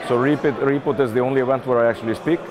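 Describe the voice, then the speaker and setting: A man's voice: mid-range voice, steady tone, very fast. A middle-aged man from Iceland giving casual interview answers, thinking aloud and repeating words as he goes. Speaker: interview guest, game publishing executive. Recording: busy event crowd behind the voice.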